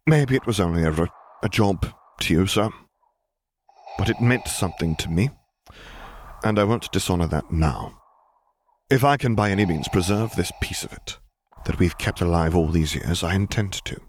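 A man's voice: deep voice, expressive, moderate pace, pauses often, a little hiss or hum. A man voicing a loyal, indignant older admiral in an audiobook, speaking with formal, emphatic military address. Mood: earnest, hurt. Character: defiant, loyal older male fleet admiral, earnest and indignant. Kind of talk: audiobook character voice.

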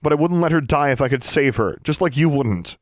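A man's voice: lowish voice, quick pace, a little mellow, no echo, clean studio sound, natural voice. A man voicing a desperate young girl who pleads insistently and defiantly in an audiobook story. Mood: desperate pleading.

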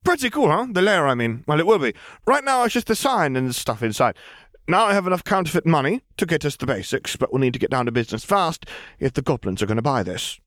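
Man voicing a brash scheming young girl, pitched up. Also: sing-song, fast talker, a bit bright, dry recording, clean studio sound. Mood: breezy, eager. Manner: breezy, glib, conspiratorial sales patter.

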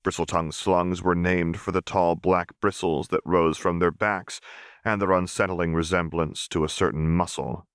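A man reading a story aloud in calm, steady narration. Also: low voice, no room sound, clean studio sound. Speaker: audiobook reader. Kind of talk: scripted narration.

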